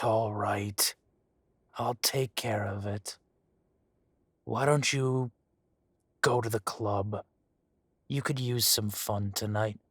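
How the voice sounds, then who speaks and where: An actor playing a smug, teasing dragon mafia boss who speaks slowly and often drops to a whisper. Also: male voice, on the low side, slightly rough, no room sound, studio quality, full character voice. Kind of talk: audio roleplay acting.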